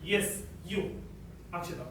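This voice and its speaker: Indian man, teacher, bright voice, firm classroom prompts, roomy echo, distant mic. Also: slow, slight background noise. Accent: Indian English. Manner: firm, checks with "Clear?" and prompts students. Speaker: debate teacher. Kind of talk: classroom moderating. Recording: echoey room, picked up from far off.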